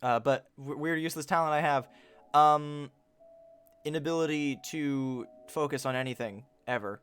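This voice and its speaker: A man's voice: on the high side, moderate pace, fairly bright tone, smooth, soft background music. A man streaming a game with casual chat, reading on-screen text aloud and riffing jokingly on it. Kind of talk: casual livestream chat while playing a game.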